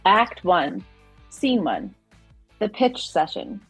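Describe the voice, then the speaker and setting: A woman's voice: medium pitch, wildly swooping pitch, slow pace, slightly rough, no echo, faint music behind, rough recording. A woman narrating an audio play from a script, setting scenes and introducing characters.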